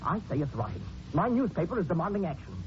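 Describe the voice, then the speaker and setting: A man's voice: high-pitched, lively intonation, quick pace. An actor playing a determined, indignant Victorian newspaperman in a 1940s radio drama. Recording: noisy muffled 1940s radio recording.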